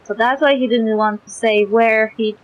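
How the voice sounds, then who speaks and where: A woman streamer giving casual live game commentary, musing and halting as she thinks aloud. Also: a little high, steady tone, highs cut off.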